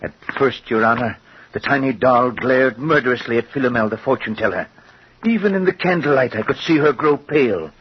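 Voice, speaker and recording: A man's voice: medium pitch, animated, brisk, close to an everyday voice. Noisy old-radio scheming craftsman , acted by a man. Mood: awed, intense. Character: nervous, scheming male master craftsman and thief. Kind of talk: old-time radio horror acting. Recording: noisy, muffled vintage radio recording.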